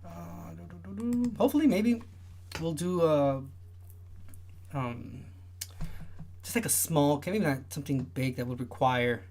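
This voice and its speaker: A young man with a high-pitched voice that often gets taken for a woman's, hosting a casual livestream and rambling with lots of fillers and restarts. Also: measured pace, long pauses, darkish tone. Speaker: livestream host.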